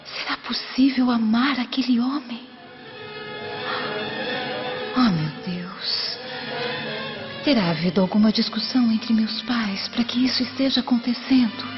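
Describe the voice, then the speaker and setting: An actress playing a devout, sensitive young Roman daughter in a radio drama, speaking in a theatrical manner. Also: female voice, a little high, lightly acted. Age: young adult. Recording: muffled radio drama over clear music.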